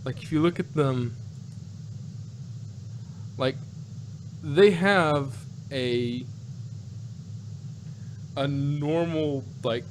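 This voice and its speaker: A man co-hosting a casual chat, rambling and halting as he restarts and repeats his phrases. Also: a little high. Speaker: podcast co-host. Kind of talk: casual chat, reviewing sci-fi. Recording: noisy home recording with hum.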